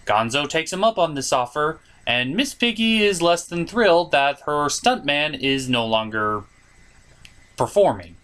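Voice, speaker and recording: A man's voice: fairly high pitch, very animated, a little slow, fairly bright tone. A man hosting a comedic review show, narrating from a script. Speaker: host and reviewer.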